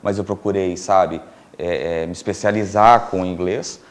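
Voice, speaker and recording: Animated middle-aged Brazilian man, low voice. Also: moderate pace. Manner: animated, laughs often, many fillers and restarts. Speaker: interview guest, filmmaker and producer. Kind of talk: casual storytelling in a TV interview.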